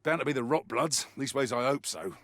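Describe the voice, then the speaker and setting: An actor playing a wry, world-weary mercenary soldier in a video game, with a colloquial, grumbling delivery. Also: male voice, mid-range voice, animated, a little fast, fairly bright tone, no echo, natural voice. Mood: dry, resigned. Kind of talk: scripted in-character mission briefing monologue.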